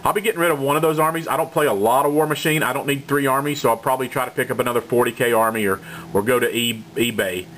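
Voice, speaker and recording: A man's voice: medium pitch, very fast, a bit bright, a little hiss or hum. Male channel host with a cold, congested, chatting casually and bluntly to camera with frequent fillers. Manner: rambling, frank, with many fillers and restarts. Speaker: hobby gaming channel host. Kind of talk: casual hobby update to camera.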